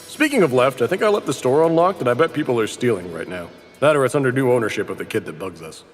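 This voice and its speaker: A man's voice: medium pitch, animated, fast talker, long reverb tail, faint music behind, natural voice. An actor playing a grumpy, fretful, blunt retired hitman in a comedic anime parody. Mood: exasperated. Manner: exasperated, blunt.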